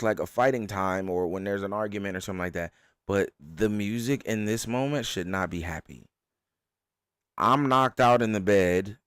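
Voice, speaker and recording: A man doing casual streamer reaction commentary, halting and often repeating himself. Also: fairly low pitch, smooth, dry recording, clean studio sound. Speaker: streamer reacting to a fan dub.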